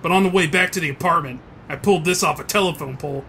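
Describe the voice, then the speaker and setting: A man's voice: fairly high pitch, fast talker, vocal fry, sharp tone, slight background noise. A man playing a brash young punk rocker in a comic book dub, blunt and slangy. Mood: agitated.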